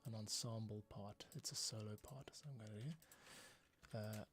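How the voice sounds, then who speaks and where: A man's voice: on the low side, steady tone, unhurried, a bit bright, a little hiss or hum. A man giving casual live tutorial commentary as a composer, informally thinking aloud while he works. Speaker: composer streamer. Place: small home broom-closet studio.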